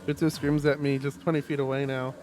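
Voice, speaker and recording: A man streaming a live read-aloud of a visual novel, expressive, with stumbles and casual asides. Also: medium pitch, fast talker, faint music behind. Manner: expressive, stumbles over words while reading.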